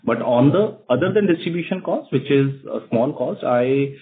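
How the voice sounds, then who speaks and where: A man's voice: medium pitch, animated, normal speed, a little hiss or hum. A man with an Indian accent explaining things as a company executive answering questions on an earnings call. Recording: narrow phone conference line.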